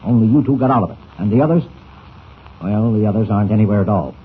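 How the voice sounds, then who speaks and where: A man's voice: lowish voice, husky, narrow, tinny audio. A man playing a brusque older newspaper editor in 1940s radio serial style, impatient and emphatic, on an old scratchy recording. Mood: grave, intrigued. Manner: impatient, emphatic, rapid clipped phrases.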